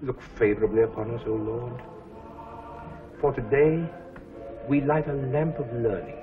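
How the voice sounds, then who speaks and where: Casting: actor as grave, earnest college head, very slow declamation, tinny vintage film sound. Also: male voice, medium pitch, steady tone, over music, natural voice. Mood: solemn, prayerful. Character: solemn, earnest college head addressing new students. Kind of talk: old film oratory and prayer.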